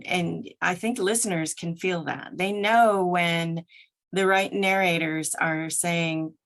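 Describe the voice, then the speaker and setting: Woman, fairly low pitch, very creaky voice, earnest, thoughtful, conversational interview guest. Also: steady tone, slow pace, a bit bright. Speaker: interview guest, audiobook narrator. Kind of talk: conversational, reflective answers.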